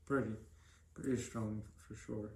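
A man's voice: on the low side, fairly even intonation, measured pace, creaky, warm tone. A young man giving short, plain-spoken, casual answers as an interview guest. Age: young adult. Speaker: interview guest, vocational trainee. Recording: echoey room, faint background noise.

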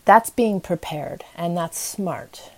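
A woman's voice: lowish voice, animated, slow pace, vocal fry. A woman hosting a podcast in a casual, motivational style, speaking earnestly and encouragingly. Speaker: podcast host.

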